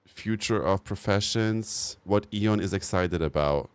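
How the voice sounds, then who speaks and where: A man's voice: low-pitched, measured pace, smooth, no room sound. A man streaming live, reacting bluntly to game news and giving opinionated takes. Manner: swears casually. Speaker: livestreamer. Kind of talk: casual live-stream commentary.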